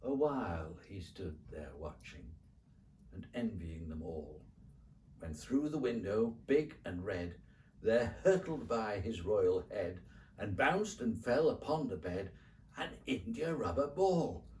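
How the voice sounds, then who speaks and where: A man reading a children's poem as a dramatic storyteller, with rhythmic, theatrical, expressive phrasing. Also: lowish voice, slow pace, distant mic, slight background noise. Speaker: poetry reader.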